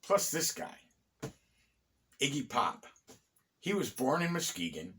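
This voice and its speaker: A middle-aged American man hosting a conversational, storytelling history talk, phrasing deliberately with pauses and dropping in wry asides. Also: on the high side, very animated, a bit bright, a little hiss or hum. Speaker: host and storyteller of a local history series. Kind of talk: conversational bedtime-story style history narration.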